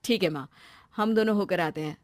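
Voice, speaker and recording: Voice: medium pitch, a little fast, dry recording, clean studio sound, natural voice. An actor playing a devoted, easygoing young husband and son in a cartoon family drama. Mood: agreeable.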